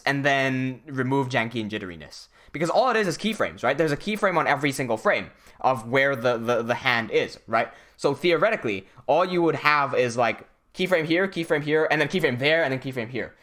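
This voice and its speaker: A man's voice: medium pitch, a bit bright. Young man thinking aloud on a livestream. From Hong Kong. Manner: thinking aloud, reads chat messages, many restarts. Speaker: animator hosting a livestream. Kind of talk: casual livestream chat.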